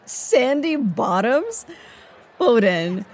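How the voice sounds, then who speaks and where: A woman's voice: on the high side, sing-song, drawn-out words, a little mellow, exaggerated voice. An actress playing a sceptical, wisecracking woman agent with a sardonic manner in an audio drama.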